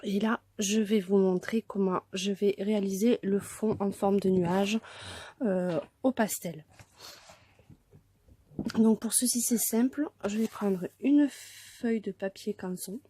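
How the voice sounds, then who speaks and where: A woman with a cold, speaking softly and hoarsely, often dropping to a near whisper as she calmly explains a craft tutorial step by step. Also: medium pitch, slow. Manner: soft-spoken, often near a whisper, hesitant.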